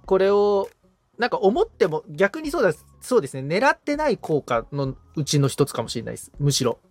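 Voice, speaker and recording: A man's voice: high-pitched, sing-song, fairly quick, soft background music. A man talking casually as the client in a podcast consultation, agreeable and reflective as he thinks aloud. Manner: agreeable, frequent backchannels, reflective. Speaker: podcast assistant, client being coached, guitar repairer.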